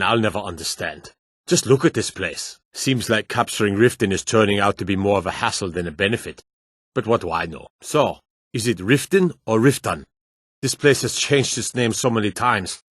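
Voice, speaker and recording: A man voicing a stern, dutiful fantasy city guard in a video game, speaking in a gruff, formal, clipped way. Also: on the low side, fairly bright tone, no room sound, clean studio sound. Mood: weary, disgusted. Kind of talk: scripted game character dialogue.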